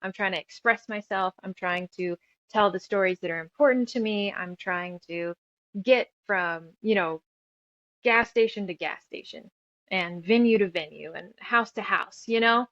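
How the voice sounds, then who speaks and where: A woman's voice: medium pitch, normal speed, fairly bright tone, no room sound, muffled recording. A woman in her thirties telling her story casually as an interview guest, rambling and emphatic, piling up lists as she goes. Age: 30s. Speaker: guest author and musician.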